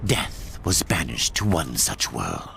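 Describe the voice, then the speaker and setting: An actor playing a solemn, ominous narrator of an ancient legend in a video game, gravelly and breathy at phrase ends. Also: male voice, very deep voice, wildly swooping pitch, slow, background noise, exaggerated voice. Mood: solemn, foreboding. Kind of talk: scripted dramatic game narration. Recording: loud music behind the voice.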